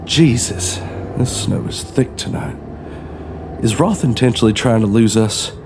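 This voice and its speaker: An actor playing a wary, irritable crook with a gruff, grumbling manner in an audio drama. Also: male voice, medium pitch, unhurried, background noise, natural voice. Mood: annoyed, tense. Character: irritable, wary male crook, new to the crew.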